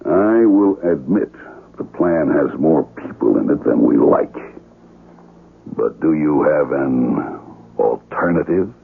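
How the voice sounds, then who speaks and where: A calculating schemer with a very deep, raspy voice. He speaks slowly and formally, in old radio drama style. The sound is narrow and muffled. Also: expressive, heavily acted. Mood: coolly challenging. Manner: deliberate, formal. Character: measured, calculating male plot mastermind. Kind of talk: 1970s radio drama acting. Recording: tinny, muffled vintage radio.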